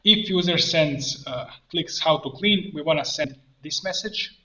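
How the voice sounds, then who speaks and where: Bright-voiced man giving a software tutorial. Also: a little high, a little slow. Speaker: tutorial presenter and coach. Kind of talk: informal screen-share tutorial, thinking aloud. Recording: roomy echo, muffled band, background noise. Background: keyboard typing and mouse clicks.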